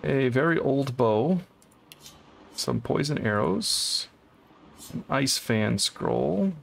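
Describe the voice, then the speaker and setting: A man's voice: mid-range voice, animated, slow pace, vocal fry, a little hiss or hum. A man casually commenting on a co-op game as he plays, thinking aloud and unsure as he works through a puzzle. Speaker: co-op game let's player.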